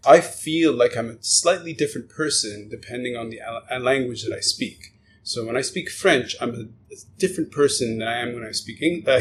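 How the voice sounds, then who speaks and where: A man's voice: mid-range voice, a bit bright, slight background noise. A man hosting a casual interview, asking reflective questions and answering with short affirmations. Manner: attentive, many short affirmations. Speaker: podcast host, interviewer.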